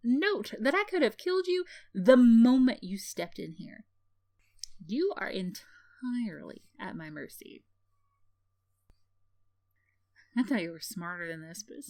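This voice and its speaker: A sardonic villainess, acted by a woman for an ASMR roleplay, speaks in a mid-range voice. She is teasing and condescending, mocking with theatrical scoffs while hiding a caring streak. The sound is very dry, without room echo. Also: mid-range voice. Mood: mocking, menacing. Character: sardonic tsundere female villain, mocking yet secretly caring.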